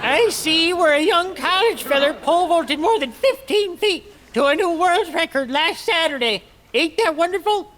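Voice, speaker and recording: A man's voice: very high-pitched, expressive, moderate pace, few pauses, a bit bright. A man playing a spry, folksy American old-timer who tells corny jokes in a 1940s radio comedy style. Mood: folksy, gleeful. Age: older. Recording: very noisy recording.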